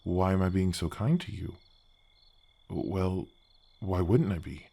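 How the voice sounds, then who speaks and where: A man playing a big, kindly gargoyle guardian in a soft fantasy ASMR roleplay, earnest and a little bashful, with his voice deepened by an effect. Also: takes it slowly, vocal fry, husky, full character voice. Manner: earnest, courteous, slightly bashful, hesitant phrasing. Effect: deep-voice pitch effect.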